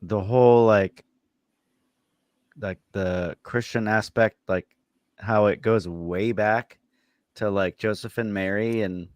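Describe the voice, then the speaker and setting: A man co-hosting a podcast, chatting informally and admiringly about horror series in a halting delivery. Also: fairly low pitch, fairly even intonation, clear, resonant tone, no room sound. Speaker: podcast co-host.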